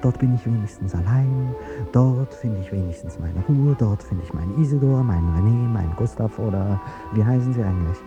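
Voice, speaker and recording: An actor playing an ageing, courtly president in a 1950s radio drama, rhetorical and wistful, with a husky, creaky, dark voice. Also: male voice, on the low side, expressive, a little fast, music in the background. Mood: expansive, declaiming. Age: older. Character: ageing male president, courteous, wistful, grandiloquent café guest. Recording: muffled old 1950s radio recording.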